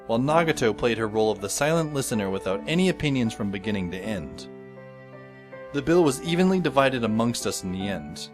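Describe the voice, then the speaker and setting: Guy playing a fed up high school boy narrator, sarcastic, animated pitch, bit of music behind. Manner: dry, sardonic. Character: wry, exasperated teenage schoolboy narrator. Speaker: narrator and lead actor in a fan audio drama. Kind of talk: scripted first-person narration.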